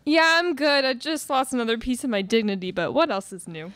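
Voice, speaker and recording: A woman's voice: high voice, brisk, fairly bright tone, dry recording. A young woman acting a bubbly, self-deprecating movie star in a live radio theatre comedy. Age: young adult. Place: college classroom stage, indoors, small audience. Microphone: stand microphone, close.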